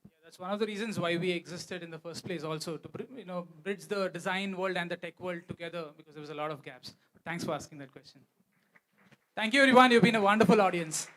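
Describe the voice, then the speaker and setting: High-voiced Indian man, explanatory. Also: fast, fairly bright tone, poor audio. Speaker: guest speaker, company founder. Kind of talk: unscripted question-and-answer on stage.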